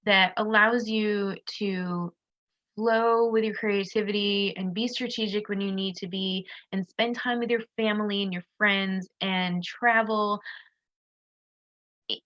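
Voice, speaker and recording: Bright-voiced woman with a stuffy nose. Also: mid-range voice, muffled recording. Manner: chatty, loose, with many restarts and fillers. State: stuffy nose, losing her voice. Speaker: business coach. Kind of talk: casual live-stream advice talk. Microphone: streaming on a social video platform.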